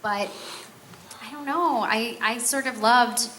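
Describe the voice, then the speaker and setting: A woman's voice: on the high side, slow pace, a bit bright, slight background noise. A woman voice actress answering a fan question at a convention panel, earnest and heartfelt. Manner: earnest, emotional, self-aware.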